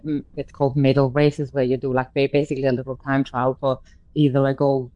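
A woman's voice: a bass voice, level tone, fairly quick, no echo, lo-fi sound. A middle-aged woman from Denmark, a former road cyclist, answering radio interview questions hesitantly with many fillers and restarts.

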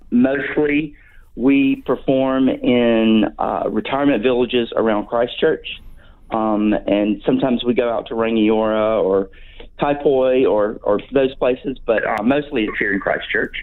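A man in his sixties from North Carolina with a Southern American accent, a guest on a radio chat show, talking casually with many ums and restarts. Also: mid-range voice. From North Carolina, USA. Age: 60s. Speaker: radio guest, brass band member. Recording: tinny phone line.

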